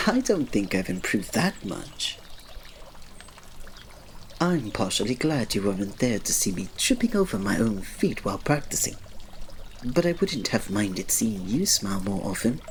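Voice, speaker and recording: A man playing a reserved, devoted royal servant in an ASMR roleplay, speaking formally and politely with quiet fondness and restraint. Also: lowish voice, big pitch swings, unhurried, long pauses, a little hiss or hum. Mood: quietly fond. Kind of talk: ASMR roleplay, scripted drama.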